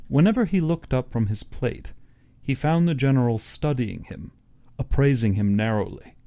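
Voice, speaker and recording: Mellow male audiobook narrator. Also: medium pitch, animated, normal speed. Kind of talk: scripted literary story narration.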